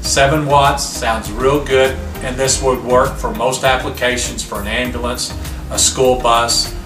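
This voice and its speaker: A man's voice: mid-range voice, fairly even intonation, a little slow. Roomy-sounding man demonstrating a product. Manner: plainspoken, step-by-step explaining with rhetorical questions. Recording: roomy, distant mic, music behind.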